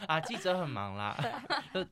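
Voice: mid-range voice, big pitch swings, unhurried, a loud crowd. A young adult podcast host with a Taiwanese Mandarin accent, telling stories casually in a chatty, dramatic and self-mocking way. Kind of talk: casual chat and storytelling.

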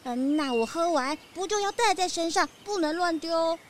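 A woman's voice: very high voice, expressive, normal speed, a little hiss or hum. A woman voicing a cute, cheerful, naive small animal hiker in a children's mystery audio drama. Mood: friendly.

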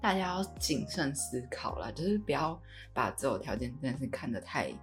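Young woman, Taiwanese Mandarin accent, low register, chatty podcast co-host, light music behind. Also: sing-song, brisk. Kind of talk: casual podcast chat.

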